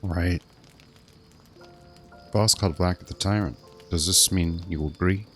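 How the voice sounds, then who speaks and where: A man's voice: sits low, measured pace, a bit bright, faint music behind. A man giving casual, offhand live commentary on a video game in short joking asides. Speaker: game streamer.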